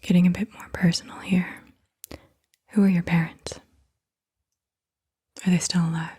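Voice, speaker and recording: A woman whispering softly and gently in an unhurried ASMR style, with a breathy voice, reading out questions. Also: on the low side, level tone. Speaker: ASMR host. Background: computer keyboard typing.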